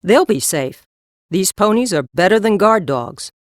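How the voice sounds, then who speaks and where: Actress for no-nonsense horsewoman, audiobook drama. Mid-pitched, very animated delivery, brisk and blunt but kind underneath. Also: no room sound, clean studio sound, natural voice. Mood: friendly, brisk. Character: brisk, tough, kindly adult female horse trader. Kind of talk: full-cast audiobook acting.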